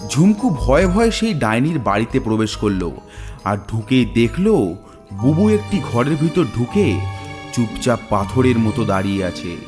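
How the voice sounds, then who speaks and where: A man's voice: medium pitch, big pitch swings, normal speed. A man narrating a scripted cartoon horror tale as a dramatic storyteller. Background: background music.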